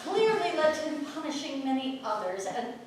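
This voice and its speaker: A woman's voice: high voice, very animated, moderate pace. An actress playing a proud, wry married townswoman of early modern England in a staged play reading. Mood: contrite. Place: playhouse stage. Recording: echoey theatre from far off, faint noise.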